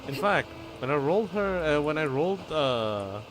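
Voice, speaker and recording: A man giving casual live commentary on a game stream, halting and swearing freely. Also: fairly high pitch, very animated, a little hiss or hum, poor audio.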